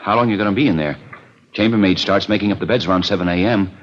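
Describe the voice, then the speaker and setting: An actor playing a meddling, eager hotel desk clerk, chatty and officious, in American 1950s radio drama. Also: male voice, low-pitched, fast talker, lightly acted. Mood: eager, nosy. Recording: muffled, hissy 1950s radio recording.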